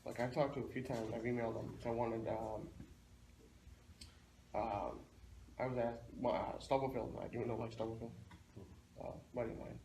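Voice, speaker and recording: A man's voice: medium pitch, level tone, normal speed, pauses often, warm tone. A man talking casually and informally as a co-host, in a loose, rambling way. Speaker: show co-host and comics seller. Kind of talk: casual chat. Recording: echoey room, constant noise.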